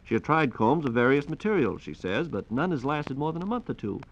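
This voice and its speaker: Brisk American man, 1940s radio announcer. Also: mid-range voice, sing-song, a little hiss or hum. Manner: polite, formal, articulate. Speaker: radio program host and announcer. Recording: dull, band-limited 1940s radio transcription disc.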